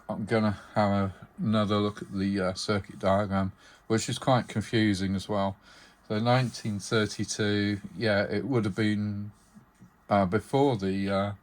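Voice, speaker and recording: Low-voiced man, halting delivery. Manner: hesitant, unscripted, halting phrasing. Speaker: radio collector presenting his collection. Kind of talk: casual hands-on demonstration vlog.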